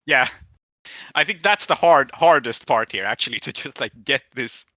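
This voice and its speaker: A man's voice: medium pitch, normal speed, bright, forceful tone, no echo, dull audio. A man running a tabletop game as game master, casually narrating and giving rulings, with short affirmations as he listens.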